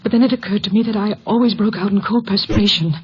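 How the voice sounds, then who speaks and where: Anxious American wife played by a woman, mid-pitched and earnest, vintage radio mystery style, muffled and slightly noisy. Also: fast, a little mellow, natural voice. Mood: troubled, fearful. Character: anxious married woman client fearing for her life. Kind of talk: 1950s American radio drama acting.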